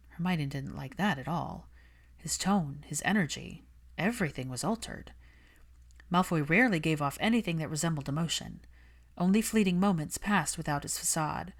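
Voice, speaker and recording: Female narrator for fiction audiobook, low register, scripted storytelling.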